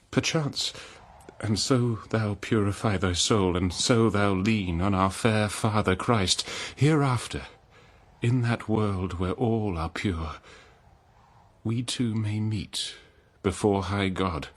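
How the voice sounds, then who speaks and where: Betrayed noble king, low-pitched, very unhurried, declamatory, anguished, classical radio drama. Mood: fervent hope. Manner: solemn, declamatory, archaic diction with long pauses. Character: betrayed noble old-world king, anguished, forgiving husband.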